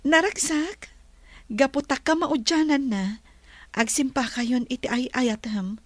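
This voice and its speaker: A woman with an Ilocano Filipino accent playing a gentle, wealthy bride-to-be in a radio drama. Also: sits high, steady tone, slow pace, no room sound. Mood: warm, teasing.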